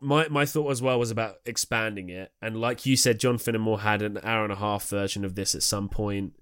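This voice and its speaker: A man's voice: mid-range voice, a bit bright, smooth, dry recording, studio quality. A man chatting enthusiastically and casually as a podcast host, discussing radio drama.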